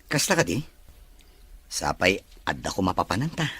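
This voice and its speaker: An unfaithful young husband in a melodrama, played by a male actor. His husky voice is lively in pitch and recorded close and dry, slipping between sweet talk and evasion. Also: moderate pace, dry recording, heavily acted. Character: smooth-talking cheating husband, evasive office worker. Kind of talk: melodrama dialogue.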